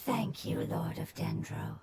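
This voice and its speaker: A woman voicing a gentle, loyal elemental creature in a video game, breathy, solemn and reverent in manner. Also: low-pitched, takes it slowly, heavy background noise, exaggerated voice. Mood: grateful, devoted. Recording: prominent game music behind.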